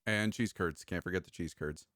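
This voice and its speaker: A man's voice: deep voice, moderate pace, a bit bright, dry recording, clean studio sound. A man co-hosting a casual chat, joking around and sometimes trailing off mid-thought. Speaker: podcast co-host.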